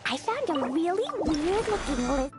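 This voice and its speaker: Game kid, a high, bouncy, sing-song little girl over music. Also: lively intonation, measured pace. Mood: playful. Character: cheerful little girl bomb-loving kid. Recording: game audio under loud music.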